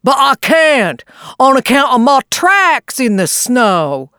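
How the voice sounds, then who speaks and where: A woman voicing a good-natured old male possum who talks in a slow, mournful dialect drawl. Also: medium pitch, lively intonation, no echo, clean studio sound.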